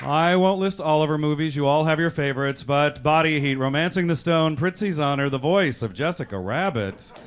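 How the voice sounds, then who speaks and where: Man announcing live over clear crowd noise. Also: on the high side, normal speed, few pauses. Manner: announcer-like, conversational asides. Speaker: host and director introducing a cast. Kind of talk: live stage introductions. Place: theatre-style performance space before an audience. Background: audience noise.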